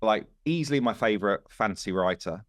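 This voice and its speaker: A man's voice: lowish voice, expressive, a little fast, no echo, studio quality. A man in a casual podcast conversation, talking earnestly and emphatically in informal language like a devoted fan.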